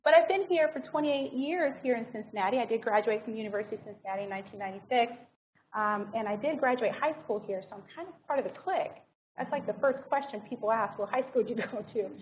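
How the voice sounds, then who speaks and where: An American woman speaks as a guest presenter in an informal talk. Her voice is fairly high. The sound is thin and band-limited like a phone line, with faint noise underneath. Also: fast. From United States. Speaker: guest speaker, healthcare diversity director.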